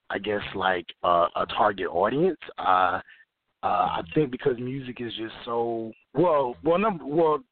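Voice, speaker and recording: A man from Florida, an artist being interviewed, talking in a laid-back way with many fillers and restarts. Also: mid-range voice. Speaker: interview guest, recording artist. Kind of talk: casual interview talk. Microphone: phone call. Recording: tinny phone line.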